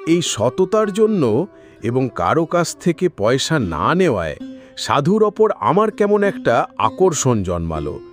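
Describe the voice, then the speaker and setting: A man narrating a scripted Bengali ghost story in an expressive, dramatic storytelling manner. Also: medium pitch, measured pace, soft background music.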